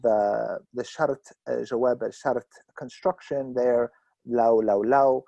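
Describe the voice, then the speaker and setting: A man with a gravelly, fry-laced voice moderates a conversation. His tone is dark and mellow, and the sound is dry and close. He rambles enthusiastically through many "uh"s and "um"s. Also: medium pitch, steady tone, takes it slowly, no room sound, highs cut off. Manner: rambling, filler-heavy, enthusiastic. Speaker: host and moderator. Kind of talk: moderating a literary conversation over video call.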